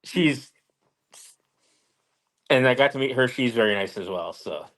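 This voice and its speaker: A man's voice: mid-range voice, animated, measured pace, fairly bright tone, a little hiss or hum. A man as an interview guest, chatting casually and telling stories in a plain-spoken way with short simple answers. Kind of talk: casual storytelling chat.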